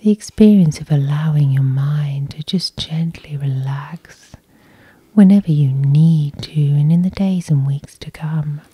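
Female hypnosis guide. Airy, barely voiced whisper, slow and soothing, recorded close and dry. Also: sits low, warm tone, husky, no room sound. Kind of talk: whispered sleep hypnosis, ASMR guided meditation.

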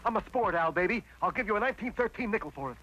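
A man voicing a wicked, grumpy, fast-talking uncle in a 1960s cartoon, with brash salesman patter. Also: high-pitched, lively intonation, sharp tone, a little hiss or hum, low-quality recording. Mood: wheedling.

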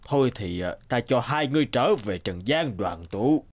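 A man voicing a stern but merciful lord of the underworld in a fairy-tale cartoon, slow and commanding. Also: medium pitch, expressive. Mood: solemn, merciful. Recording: music under the voice.

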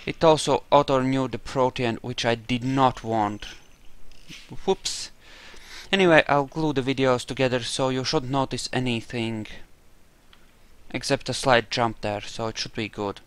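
A man's voice: medium pitch, unhurried, clean tone. A man giving casual gameplay commentary, muttering asides to himself as he plays. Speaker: gamer commentating on a playthrough.

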